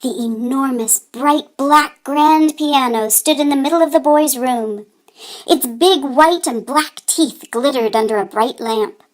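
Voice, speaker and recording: A woman reading a children's story aloud with deliberate, dramatic emphasis. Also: sits high, poor audio. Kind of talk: expressive read-aloud narration for children.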